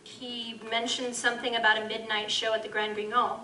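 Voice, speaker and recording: A woman's voice: a little high, level tone, fairly quick, pushed and bright. An actress playing a sly, streetwise woman of the night in a live audio drama, speaking in a teasing, knowing manner. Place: live convention stage. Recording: echoey stage from far off, faint noise.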